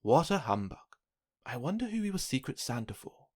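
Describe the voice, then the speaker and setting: A man narrating a children's picture book, reading aloud with expressive, dramatic emphasis. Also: mid-range voice, fairly quick. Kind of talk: scripted storybook narration for children.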